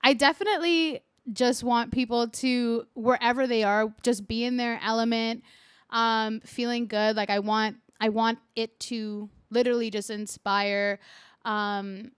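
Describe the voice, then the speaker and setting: A woman singer from Canada with a loud, projecting voice, chatting casually as an interview guest. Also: a little high, smooth, no echo, clean studio sound.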